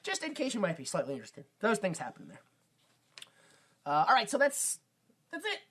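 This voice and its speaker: A man co-hosting a comedy podcast. He talks in a sarcastic, loose way and swears a lot. His pitch moves around a good deal. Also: huge pitch swings, brisk, bright, forceful tone, slightly rough. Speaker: podcast co-host. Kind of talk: casual comedic chat.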